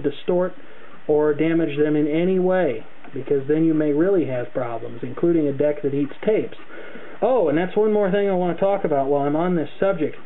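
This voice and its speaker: A man's voice: a little high, phone-quality sound, a little hiss or hum. A man narrating an unscripted hands-on tutorial, explaining things carefully step by step into a camera's microphone. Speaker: hobbyist demonstrator. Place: indoors, at a tape deck.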